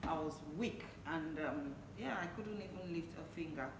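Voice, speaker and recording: Echoey, noisy recording, woman, interview guest, slightly low voice, conversational personal storytelling. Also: moderate pace. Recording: echoey room, distant mic, noisy background.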